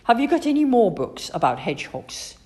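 A woman voicing an excited, earnest young schoolgirl in a children's storybook reading. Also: fairly high pitch, fairly quick, pitched above the performer's own voice. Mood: polite. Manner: earnest, exclaiming. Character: excited, eager young schoolgirl who loves hedgehogs.

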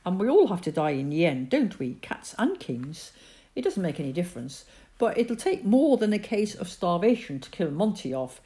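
Woman reader voicing an eager farm lad of fourteen, pleading, lively pitch. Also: moderate pace. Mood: earnest. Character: earnest fourteen-year-old farm boy, pleading.

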